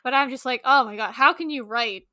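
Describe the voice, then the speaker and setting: Bright, higher-voiced woman chatting casually. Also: brisk, dry recording, clean studio sound. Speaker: podcast co-host. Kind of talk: casual book chat.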